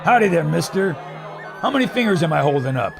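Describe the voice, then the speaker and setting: A man's voice: a little high, big pitch swings, quick pace, creaky, over music. An actor playing a brash, folksy, confident adventurer leading a party in a pulp radio adventure, hearty and persuasive as a salesman. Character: brash, jolly, confident male adventurer and leader.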